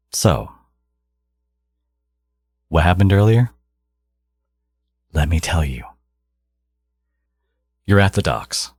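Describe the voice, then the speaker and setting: A man playing a theatrical, possessive supervillain in a scripted audio roleplay, scornful and grandiose toward his archnemesis, with a husky voice. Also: low-pitched, full character voice. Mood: brisk, muttering. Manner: grandiose, exasperated, dramatic emphatic delivery.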